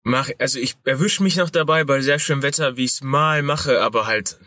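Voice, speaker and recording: Man with a cold, podcast co-host, bright forceful voice, loose slangy banter, dry close sound. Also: medium pitch, brisk, no room sound. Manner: rambling, crude slang-heavy banter. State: has a cold. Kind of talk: casual podcast chat.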